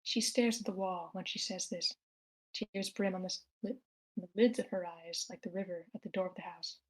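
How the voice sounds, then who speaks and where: A woman's voice: lowish voice, steady tone, a little fast, rough recording. A woman narrator reading screenplay stage directions aloud.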